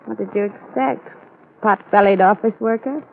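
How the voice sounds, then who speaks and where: Actress as cool seductive spy, creaky, vintage radio. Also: female voice, medium pitch, normal speed, natural voice. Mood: assured, businesslike. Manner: poised, coolly teasing. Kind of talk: 1940s-style radio drama acting. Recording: muffled old radio broadcast, faint noise.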